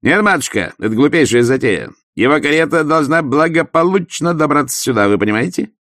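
A man voicing an arrogant, self-assured young nobleman who speaks calmly and coolly. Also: fairly high pitch, fairly quick, no room sound, studio quality, voice pushed up. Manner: cool, self-assured, calm. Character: arrogant young nobleman, werewolf son, fitness-obsessed. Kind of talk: audiobook character voice.

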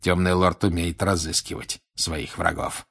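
A man voicing a grim older wizard in an audiobook, questioning in an insistent, deliberate and menacing way. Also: low-pitched, little pitch movement, vocal fry, a bit bright, slightly rough, exaggerated voice. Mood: quiet, menacing. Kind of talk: audiobook character acting.

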